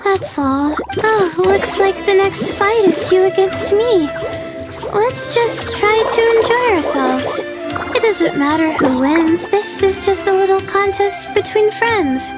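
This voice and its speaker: A very high, soft young girl in an anime video game. She speaks slowly, gently and earnestly, with some hesitation. Music plays behind her. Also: animated, heavily acted. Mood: friendly, modest.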